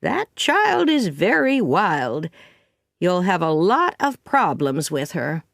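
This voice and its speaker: A woman voicing a blunt, judgmental older village woman in an audiobook story, disapproving and deliberate. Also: deep voice, very animated, a bit bright, no room sound, clean studio sound, exaggerated voice. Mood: offended.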